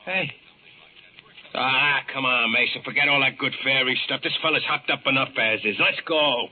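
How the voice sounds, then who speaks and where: An actor playing a tough, embittered working-class American ambulance driver with blunt slangy talk, in the style of 1940s radio drama. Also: male voice, medium pitch, moderate pace, narrow, tinny audio, close to an everyday voice. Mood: gruff, dismissive. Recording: noisy, band-limited old radio broadcast.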